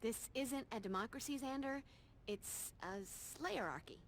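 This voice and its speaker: Blunt young American heroine. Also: female voice, fairly high pitch, measured pace, slight background noise. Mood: stern. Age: young adult. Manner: blunt, no-nonsense. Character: bossy, tough young female vampire slayer. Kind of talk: video game cutscene acting.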